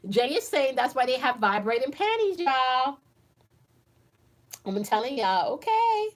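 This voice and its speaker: A woman's voice: high-pitched, very animated, a little slow. Chatty woman in her forties, full of laughs. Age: 40s. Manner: chatty and playful, laughs often, addresses viewers as y'all. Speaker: livestream host reading viewer comments. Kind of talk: casual chat.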